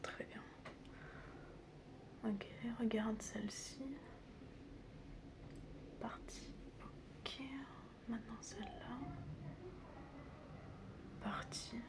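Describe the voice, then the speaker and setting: A woman doing an ASMR roleplay in a breathy whisper mixed with soft speech, teasing and chatty as she addresses the listener like a close friend. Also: a little high, little pitch movement, drawn-out words, long pauses. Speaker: ASMR creator role-playing as a doctor and best friend. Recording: clear background noise with clicking sounds.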